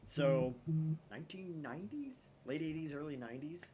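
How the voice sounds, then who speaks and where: An American man hosting a gaming let's-play, nerdy and animated, chatting casually and reading a visual novel aloud. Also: fairly high pitch, moderate pace, a little hiss or hum.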